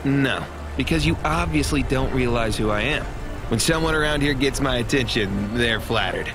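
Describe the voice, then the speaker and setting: A man's voice: mid-range voice, moderate pace, lightly acted. A man playing a brash, self-important music mogul in a video game, pompous and pushy. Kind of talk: video game dialogue acting.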